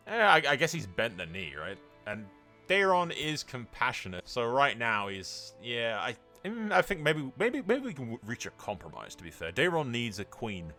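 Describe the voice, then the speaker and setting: A man giving casual commentary on a roleplay strategy game, animated and irreverent, with sweary asides. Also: medium pitch, moderate pace, soft background music. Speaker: gaming video narrator.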